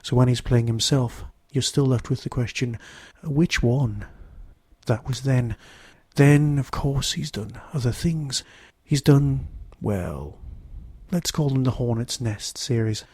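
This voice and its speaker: A male podcast host with a hoarse, creaky voice and a mellow tone. He talks straight to his listeners as a solo reviewer, recorded dry and close. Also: mid-range voice, takes it slowly, no room sound. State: hoarse from a throat infection.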